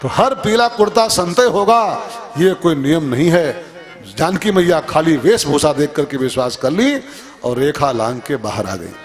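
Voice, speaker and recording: A man delivers a religious discourse in a fairly high voice over faint music and tabla. He teaches like a storyteller, stressing points by repetition. Rhetorical questions punctuate his emphatic delivery. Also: expressive, unhurried. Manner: emphatic, repeats phrases, asks rhetorical questions.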